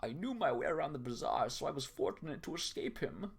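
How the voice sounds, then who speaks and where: A man's voice: fairly high pitch, very animated, fairly quick, vocal fry. A young man reading narration for a comedic fan dub, breaking off into jokes and ad-libs between lines. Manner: jokey, self-interrupting, riffs between lines.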